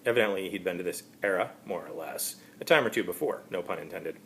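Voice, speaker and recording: A man giving an amateur reading of a first-person story, narrating in a halting, wry way. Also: sits low, creaky, slight background noise. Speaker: author reading his own story.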